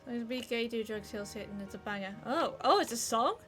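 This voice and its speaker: A woman's voice: on the high side, a little fast, a bit bright, soft background music. A woman with a Geordie accent chatting casually while streaming a game, exclamatory and swearing freely. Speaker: livestreamer. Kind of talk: casual gameplay commentary.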